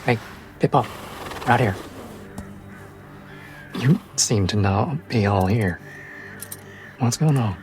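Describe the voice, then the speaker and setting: A man's voice: mid-range voice, animated, takes it slowly, long pauses, a little mellow, soft background music. A man voicing a skeptical, folksy male traveler who asks pointed questions in a tabletop game. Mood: wary. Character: Harlan, skeptical gruff male traveling companion.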